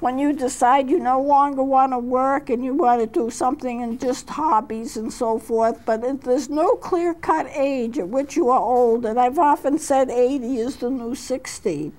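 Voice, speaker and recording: A woman's voice: high-pitched, fairly even intonation, moderate pace, hardly any pauses, darkish tone, poor audio. A woman in her eighties, a counselor hosting a talk show, speaking unhurriedly about aging and reading poems aloud, with frequent fillers and restarts. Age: 80s. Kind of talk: reflective talk with read-aloud poetry.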